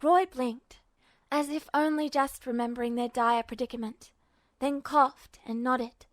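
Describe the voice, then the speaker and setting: A woman's voice: high-pitched, fairly even intonation, measured pace, dry recording, clean studio sound. A woman reading scripted fiction as an audiobook-style narrator. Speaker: podfic narrator.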